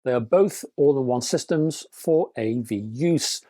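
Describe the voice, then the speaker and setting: A man's voice: medium pitch, measured pace, warm tone. A man hosting a hi-fi news roundup, reading product specs in a scripted way with dry, wry asides and chatty sign-offs to his viewers. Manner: dry, wry humor between spec readouts; chatty, addresses viewers as folks. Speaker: hi-fi news channel host.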